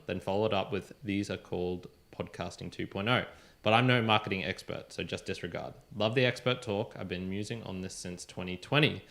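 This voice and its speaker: An Australian man with a notably low voice, co-hosting a podcast in a loose, unfiltered way. He wanders through anecdotes and swears casually as he talks. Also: a bit bright. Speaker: podcast co-host.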